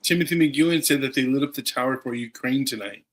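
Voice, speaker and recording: A man's voice: mid-range voice, fast talker. A man co-hosting a casual livestream over a video call, passing along viewer comments in brief, matter-of-fact remarks. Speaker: co-host reading viewer comments.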